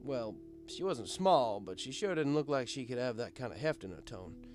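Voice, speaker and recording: A man's voice: medium pitch, big pitch swings, normal speed, a little mellow. Wry male storyteller over guitar music. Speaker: story reader, narrating as a bartender. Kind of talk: first-person scripted story narration.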